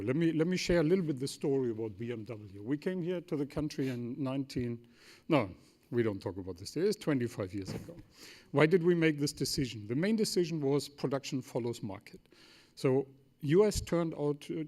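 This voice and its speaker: A man's voice: medium pitch, darkish tone. A man from Germany with a German accent and German as his native language, speaking semi-formally as a company executive on a forum panel, emphatic, in short sentences with rhetorical questions.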